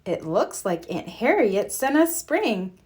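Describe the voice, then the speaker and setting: A woman doing a gentle mother turtle. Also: on the high side, sing-song, slow. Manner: soothing, reassuring.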